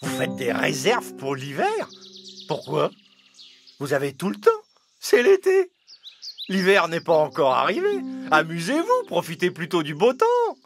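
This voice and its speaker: An actor voicing a carefree, vain male cicada musician in a French children's animated fable, with a theatrical, playful, sing-song delivery. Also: mid-range voice, a little slow. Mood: breezy, dismissive. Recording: loud music behind the voice.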